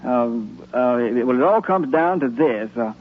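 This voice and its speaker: An actor playing a kindly, amused old grandfather with a husky voice, hesitant and indulgent, in a 1950s radio drama. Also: mid-range voice, lively intonation, normal speed, close to an everyday voice. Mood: amused, patient. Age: older. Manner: hesitant, chuckling, indulgent with children. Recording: muffled, tinny 1950s radio recording.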